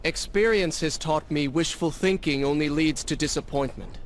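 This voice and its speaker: An actor playing a brooding, sardonic young warrior prince with a vengeful streak in a video game cutscene. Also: male voice, a little high, normal speed, background noise, natural voice.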